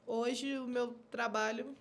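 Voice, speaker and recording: A woman with a Minas Gerais accent hosting a casual podcast chat, lively and expressive. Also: on the high side, slow. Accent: Minas Gerais Brazilian Portuguese. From born in São Paulo, raised in Minas Gerais. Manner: lively, expressive, playful asides. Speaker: podcast host.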